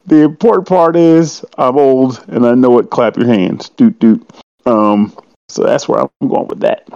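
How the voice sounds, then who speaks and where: A man's voice: mid-range voice, measured pace, warm tone, dry recording, highs cut off. A man in his forties chatting casually and joking, swearing now and then. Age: 40s. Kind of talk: casual chat.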